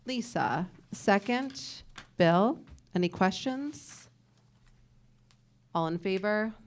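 A woman chairing a public board meeting, briskly calling motions and reading resolutions aloud in a large meeting room. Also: mid-range voice, lively intonation. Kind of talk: formal meeting procedure.

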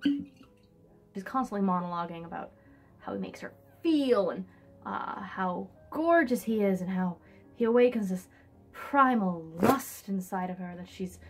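A young woman recaps a novel in a casual, wry way. Her voice sits at an ordinary middle pitch, and she slips into theatrical, sarcastic delivery with some swearing. Classical music plays faintly behind her. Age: young adult. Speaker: book reviewer, host. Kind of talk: casual comic book recap with read-aloud excerpts. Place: indoors, small room in front of a hung sheet.

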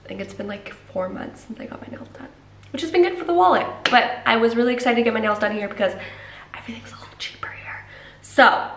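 A woman's voice: a little high, lively intonation, fast, a bit bright, whispered. A young woman in her twenties vlogging casually, chatty and upbeat with a lot of "like", sounding slightly congested from a recent cold. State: a little congested. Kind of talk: casual vlog chat and voiceover. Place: apartment room, indoors.

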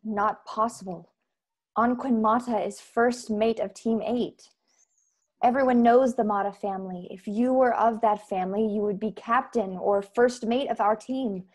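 A woman in her twenties playing an earnest, proud secret-society member in a science-fiction radio play, speaking in measured, formal phrases. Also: fairly high pitch, steady tone, darkish tone. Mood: disbelieving. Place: bedroom on video call. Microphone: earbud headset.

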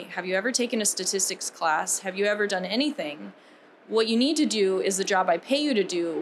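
A woman's voice: mid-range voice, fairly bright tone. Young American woman giving talk, noisy background. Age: young adult. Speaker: keynote speaker. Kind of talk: conference talk, personal storytelling. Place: on a stage at a conference.

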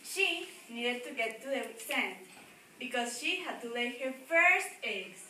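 A woman's voice: a little high, lively intonation, measured pace, sharp tone. A young woman reading a story aloud to schoolchildren in a classroom, recorded from across the room. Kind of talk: read-aloud story with questions to listeners. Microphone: distant camera across the room. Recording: echoey room, distant mic, background noise.

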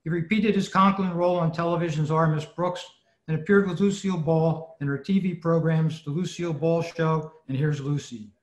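A man presenting scripted narration to a club over a video call. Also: on the high side. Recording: roomy, dull video-call audio.

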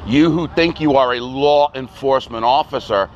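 A man's voice: medium pitch, normal speed, highs cut off, a little hiss or hum. Stern man preaching judgment outdoors. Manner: stern, scornful, sarcastic. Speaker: street preacher. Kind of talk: confrontational street preaching. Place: outdoors on a sidewalk by a parking lot.

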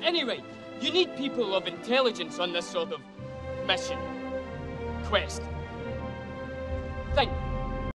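A man playing an earnest, bumbling young adventurer in a fantasy film, babbling eagerly and haltingly. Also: high voice, big pitch swings, full character voice. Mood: flustered, eager. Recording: film dialogue under loud music.

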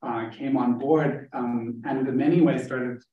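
A man's voice: medium pitch, steady tone, a little slow, phone-quality sound. Distant, roomy male academic lecturer from Germany. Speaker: academic researcher giving a seminar talk. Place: indoor seminar room, streamed over video call. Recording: roomy, distant mic in seminar room.

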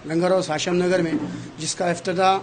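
A man's voice: high voice, little pitch movement, a little fast. A middle-aged man, a local party vice president, gives a formal statement into a handheld mic in a small crowded salon. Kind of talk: on-camera statement at a shop opening. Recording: light background noise.

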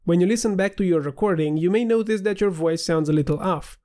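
Male tutorial narrator, a touch nasal, higher pitch, clear and steady. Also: quick pace. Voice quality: slightly nasal. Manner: clear, steady instructional delivery. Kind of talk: scripted screen-recording tutorial voiceover.

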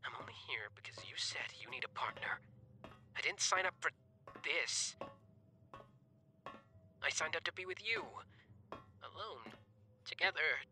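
A man playing a reluctant, anxious scrap hunter devoted to a partner, in a hushed, grumbling ASMR roleplay manner. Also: fairly high pitch, full character voice. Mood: shy, flustered. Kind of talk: whispered ASMR roleplay acting.

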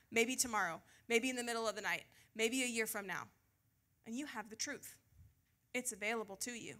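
A woman's voice: a little high, pushed and bright. An American woman preaching as a pastor in an informal, self-deprecating way, mixing scripture reading with chatty questions to her listeners, on a handheld mic. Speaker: pastor preaching to a youth group. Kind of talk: conversational sermon with scripture reading. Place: church stage before a seated audience. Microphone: handheld microphone.

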